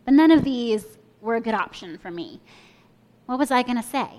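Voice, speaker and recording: A woman's voice: a little high, animated, moderate pace, a little mellow, rough recording. Reflective woman preaching a sermon. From Kenya and the US. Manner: reflective storytelling, invites listeners to imagine.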